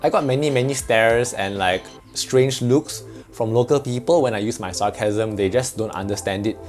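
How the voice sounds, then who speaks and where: A man's voice: medium pitch, normal speed, darkish tone, faint music behind. A man from Malaysia with a Malaysian English accent, explaining things in a chatty, joking vlog. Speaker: vlogger.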